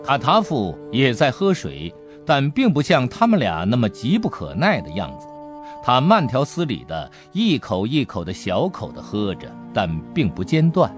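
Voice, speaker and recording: A man narrating an audiobook adventure story in an expressive, dramatic storytelling manner. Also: medium pitch, normal speed, faint music behind.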